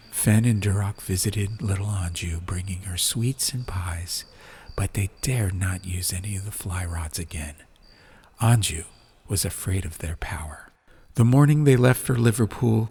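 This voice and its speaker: A man narrating a scripted audiobook in a measured, dramatic storytelling manner. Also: fairly low pitch, darkish tone, husky. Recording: ambient sound effects behind. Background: faint fantasy ambience.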